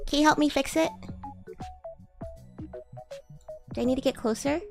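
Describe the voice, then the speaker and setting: A woman's voice: high voice, racing, creaky, over music. A woman voicing a bratty, boastful young demon schoolgirl in an improvised roleplay stream, teasing and haughty with flustered stammers. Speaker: VTuber streamer. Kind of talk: improvised roleplay livestream chatting with viewers.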